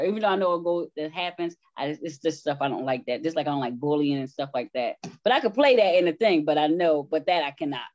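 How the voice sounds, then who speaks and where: A woman with a slightly low voice speaks in a casual, conversational way. She leans on her points and repeats them for stress. The sound is dull and lo-fi. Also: a little mellow. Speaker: stage play cast member being interviewed.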